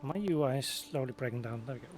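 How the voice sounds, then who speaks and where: A man giving casual let's play commentary, unhurried and half talking to himself as he plays. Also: mid-range voice, over music. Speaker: gaming streamer.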